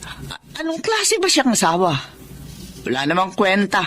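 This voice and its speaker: A woman's voice: mid-range voice, wildly swooping pitch, normal speed, a bit bright, a little hiss or hum. A woman playing a bitter, uncaring middle-aged gambler mother in a radio drama, dismissive and scornful. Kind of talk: Tagalog radio drama acting.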